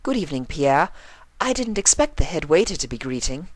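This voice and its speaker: A man's voice: fairly high pitch, very animated, brisk, dry recording, voice pushed up. A man voicing a refined, dramatic young mare with genteel, theatrical diction in a story reading. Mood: gracious.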